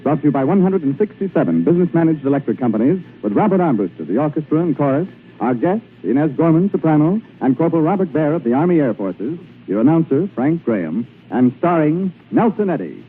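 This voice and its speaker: A man's voice: fairly high pitch, sing-song, fast, hardly any pauses, slight background noise. A man announcing a scripted 1940s radio show, on a tinny old broadcast recording with music behind him. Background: orchestra music.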